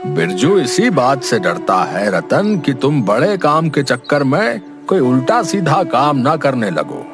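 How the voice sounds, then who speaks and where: Fatherly male village headman, acted by a man, mid-range, admonishing, animated moral tale. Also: medium pitch, unhurried, music in the background. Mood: gently admonishing. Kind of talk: cartoon moral story acting.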